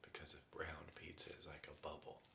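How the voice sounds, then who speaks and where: A man playing an earnest, paranoid conspiracy theorist in a whispery ASMR roleplay, halting and rambling, often slipping into a whisper. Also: extremely low pitch, monotone, vocal fry, full character voice. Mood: earnest, conspiratorial. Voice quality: hushed, breaking often into whisper. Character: earnest, paranoid, tipsy male conspiracy theorist club member.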